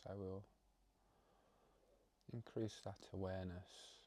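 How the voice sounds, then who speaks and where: A man leading an unscripted guided meditation, speaking slowly in short, halting phrases with long pauses between them. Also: low-pitched, flat delivery, warm tone, slight background noise. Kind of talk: guided meditation, spoken off the cuff.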